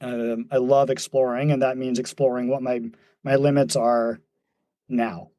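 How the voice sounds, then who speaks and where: Casting: man in his 40s, warm mellow tone, dry close sound, thoughtful and halting. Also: mid-range voice, little pitch movement, no echo. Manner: thoughtful, many fillers and restarts, laughs easily. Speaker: podcast guest, mountain runner. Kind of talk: reflective casual conversation.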